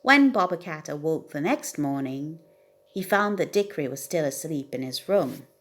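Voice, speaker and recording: A woman reading a children's bedtime story aloud as an expressive narrator. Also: sits low, moderate pace. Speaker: storybook reader.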